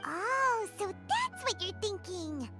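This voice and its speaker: A tiny childlike fairy girl, squeaky and extremely high, swooping all over as she chatters. She is eager, caring and cheeky, a video game sidekick heard over loud music. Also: slow, heavily acted. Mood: teasing. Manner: excitable, refers to self in third person.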